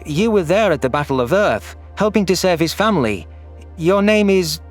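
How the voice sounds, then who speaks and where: A man's voice: high voice, animated, normal speed, pitched above the performer's own voice. An anxious, irritable male alien played by a man in a sci-fi audio story. He sounds agitated and defensive, with faint music behind him. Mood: puzzled.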